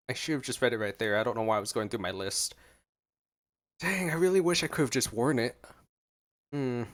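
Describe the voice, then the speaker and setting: A man doing casual, playful gameplay commentary as a streamer, rambling as he thinks aloud. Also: mid-range voice. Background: game music.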